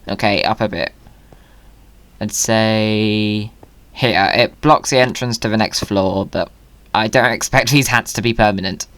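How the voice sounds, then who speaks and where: Playful gaming host for casual commentary, middle pitch, very dry close-miked recording. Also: a little slow, fairly bright tone, no room sound. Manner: whimsical, playful. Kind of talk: casual gameplay commentary and chat.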